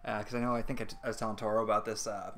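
A man's voice: fairly low pitch, flat delivery, racing, fairly bright tone, faint music behind. A young man hosting a casual stream interview, rambling and joking as he reads questions from chat.

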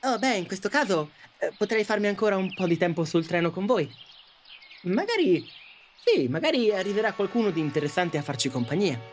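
Un attore che interpreta un passeggero malinconico e riflessivo. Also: male voice, sits high, sing-song, a little fast, a little hiss or hum. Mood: hesitant. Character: wistful male passenger in the afterlife, longing for love. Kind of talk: audio drama narration and dialogue.

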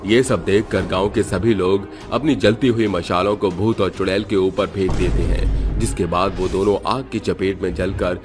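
A man's voice: sits low, steady tone, normal speed, hardly any pauses, over music. A man narrating a scripted cartoon story.

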